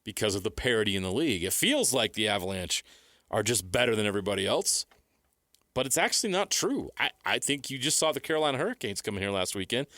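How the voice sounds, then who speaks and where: A man's voice: lowish voice, sing-song, fast, bright, forceful tone, dry recording. An American man hosting sports radio, chatting casually into a close studio microphone. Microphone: close studio broadcast microphone.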